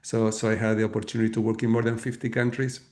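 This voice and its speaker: Middle-aged Argentine man, Spanish-accented English, steady flat delivery, methodical guest speaker. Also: on the low side. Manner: measured, explanatory, frequent fillers and restarts. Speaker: guest author and leadership coach. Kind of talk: webinar talk.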